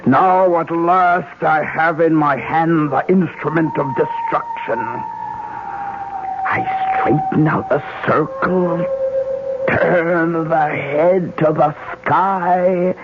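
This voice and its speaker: An intense caster of deadly voodoo curses in an old radio play. The delivery is very slow and theatrical, with wide swings in pitch. It comes through a muffled vintage broadcast with loud music. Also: male voice, sing-song, very noisy, full character voice. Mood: menacing incantation. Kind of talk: radio drama acting.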